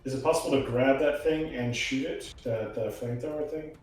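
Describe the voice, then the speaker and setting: A man's voice: medium pitch, a little fast, darkish tone. A man chatting casually as a player in a tabletop roleplaying game. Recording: very echoey room, music behind.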